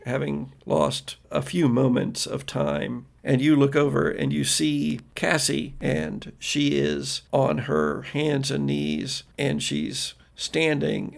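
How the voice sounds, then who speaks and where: An American man with a very gravelly, fry-laden voice at a middling pitch. He works as a game master, narrating improvised horror. His storytelling is dramatic and deliberate, with pauses that trail off. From United States. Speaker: game master and podcast host.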